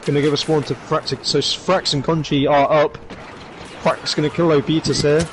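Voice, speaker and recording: Hoarse man calling an esports match. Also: fairly high pitch, a little hiss or hum. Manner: rapid excited calls with rising bursts. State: hoarse, losing his voice. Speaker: esports commentator. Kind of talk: play-by-play commentary.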